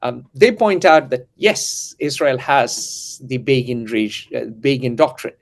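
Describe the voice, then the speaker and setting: A man's voice: mid-range voice, a little slow. A man hosting a geopolitical discussion, lecturing and full of historical asides. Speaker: host and editor.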